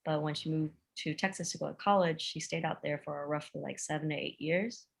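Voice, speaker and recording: Low-pitched woman in her late 20s, podcast guest, chatty and a bit hesitant. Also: fairly even intonation, a little fast, creaky. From Georgia, USA. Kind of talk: casual chat.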